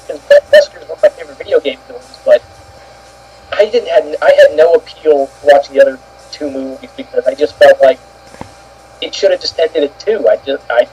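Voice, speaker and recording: A man's voice: fairly high pitch, a little mellow, slightly rough. A man giving his view as a panelist in casual talk, hesitantly searching for his words. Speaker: panelist on an opinion show. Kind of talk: casual opinion chat. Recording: dull, muffled lo-fi sound.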